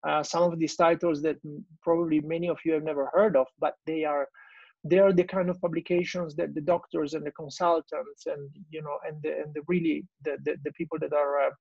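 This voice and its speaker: Italian man, gravelly husky voice. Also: fairly high pitch, darkish tone, dry recording, muffled recording. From Italy. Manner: hesitant, many fillers and restarts. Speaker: guest speaker. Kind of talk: business presentation. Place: small room at home, indoors. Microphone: earbuds on video call.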